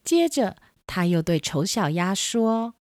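A woman's voice: mid-range voice, big pitch swings, takes it slowly, a little mellow, no echo, clean studio sound. A woman reading scripted narration for a children's storybook tale. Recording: music behind the voice.

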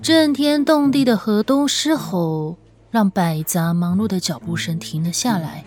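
A woman reading scripted fiction as an audiobook narrator, with an expressive, measured, dramatic storytelling delivery. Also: mid-range voice. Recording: music under the voice. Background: background music.

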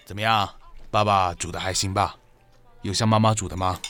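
A man's voice: deep voice, steady tone, a little fast, fairly bright tone, faint music behind. A man acting a middle-aged, traditional father in an audio drama, paternal and admonishing. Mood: kindly. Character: stern, traditional middle-aged father, caring but scolding.

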